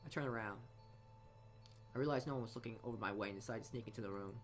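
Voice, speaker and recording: A man's voice: fairly low pitch, racing. A man narrating a visual novel aloud as a let's play host, often stumbling over words and re-reading them. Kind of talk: reading game text aloud with asides. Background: game music.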